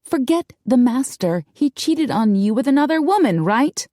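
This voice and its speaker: A headstrong young heroine of a novel, played by a woman narrator, speaks with insistence. Her voice is lifted and animated, pressing every point firmly. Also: fairly high pitch, measured pace, no echo, clean studio sound, pitched above the performer's own voice. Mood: urgent, insistent. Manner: emphatic, insistent. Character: defiant, headstrong young woman urging others on.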